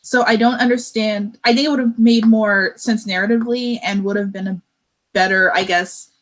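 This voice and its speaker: A woman's voice: medium pitch, fairly even intonation, normal speed. A woman giving her opinions conversationally in a casual book discussion.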